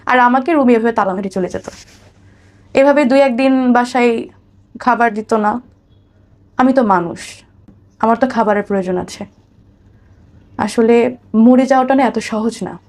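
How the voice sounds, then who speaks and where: A woman with a Bangladeshi accent and a slightly high-pitched voice tells her own life story. She speaks as an interview guest, often repeating a phrase and addressing the listener respectfully. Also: moderate pace, long pauses, a little mellow. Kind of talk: personal testimony in an interview.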